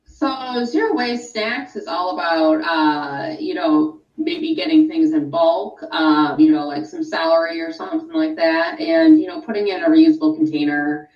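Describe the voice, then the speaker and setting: A woman's voice: lowish voice, very animated, normal speed, hardly any pauses, a little mellow, echoey room, far from the mic, lo-fi sound. A woman guest in a radio interview, explaining things informatively with frequent fillers. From California. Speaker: interview guest, sustainability expert.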